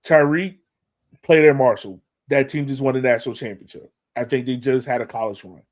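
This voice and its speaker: A man's voice: medium pitch, steady tone, brisk. A man co-hosting a soccer podcast, breaking things down casually in colloquial language and repeating words for emphasis. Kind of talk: casual sports analysis.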